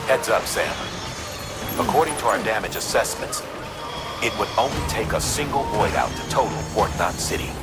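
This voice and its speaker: Man playing an urgent game mission adviser, loud music. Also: fairly low pitch, animated, lightly acted. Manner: brisk, urgent, instructive. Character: urgent, businesslike male mission adviser briefing an operative. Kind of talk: video game mission briefing.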